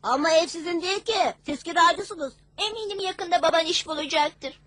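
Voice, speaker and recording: High-pitched, frank cartoon child. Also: female voice, normal speed, a bit bright, slight background noise. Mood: matter-of-fact. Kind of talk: Turkish cartoon dub.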